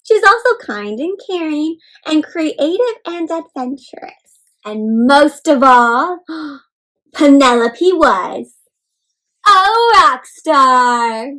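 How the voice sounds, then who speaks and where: Lady with a high voice doing an interactive kids read aloud, super expressive, up and down a lot. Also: low-quality recording. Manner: expressive, gasps and asks listeners questions; addresses young children in simple, encouraging words.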